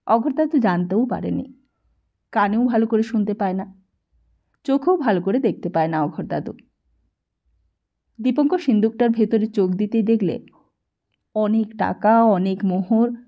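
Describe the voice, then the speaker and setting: A woman's voice: mid-range voice, highs cut off. A woman narrator reading a literary story as a scripted audiobook.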